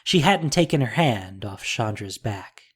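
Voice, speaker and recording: A narrator with a measured, dramatic storytelling manner, reading a scripted audio drama. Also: medium pitch, sing-song, breathy edge. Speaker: podcast narrator and producer.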